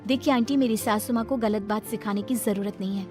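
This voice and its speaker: A woman's voice: mid-range voice, a little fast, music in the background, close to an everyday voice. A woman voicing a sweet, put-upon young daughter-in-law in a cartoon story, polite and plaintive but firm. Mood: polite firmness. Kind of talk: Hindi cartoon story acting.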